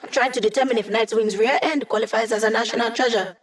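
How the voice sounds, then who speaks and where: A woman's voice: a little high, fairly even intonation, fast talker, natural voice. An actress voicing a cocky, playful young female hacker who guides a squad in a video game, with a teasing, breezy manner. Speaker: hacker and mission support. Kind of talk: video game character voice lines.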